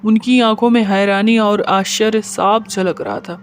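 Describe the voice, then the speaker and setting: Male narrator for scripted story, high pitched, smooth and crisp. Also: fairly even intonation, moderate pace.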